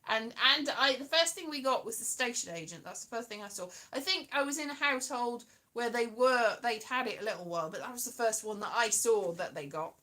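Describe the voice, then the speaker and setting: A woman's voice: on the high side, fairly quick, hardly any pauses, pushed and bright. A woman chatting casually on a solo livestream from home, rambling and slipping into sing-song. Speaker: livestream host. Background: guitar music.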